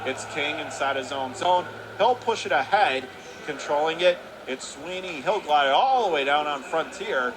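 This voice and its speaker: A man doing radio play-by-play of a hockey game, calling fast and rising sharply into excitement on goals. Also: fairly high pitch. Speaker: play-by-play announcer. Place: arena broadcast position. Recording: noisy arena broadcast.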